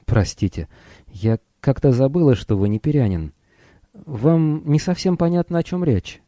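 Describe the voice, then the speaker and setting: A frank, curious young woman who pilots starships, read by a male narrator. She speaks in an earnest, matter-of-fact way, with a soft dark tone in a dry audiobook recording. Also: medium pitch, expressive, normal speed, no room sound, pitched above the performer's own voice, natural voice. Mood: friendly.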